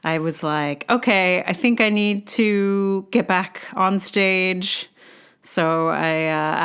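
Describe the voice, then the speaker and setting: A woman's voice: on the low side, fairly bright tone, clean tone. A middle-aged woman hosting a podcast alone, musing casually in a hesitant, rambling way with self-deprecating humor. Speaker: podcast host, playwright and actor. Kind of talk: casual reflective solo podcast monologue.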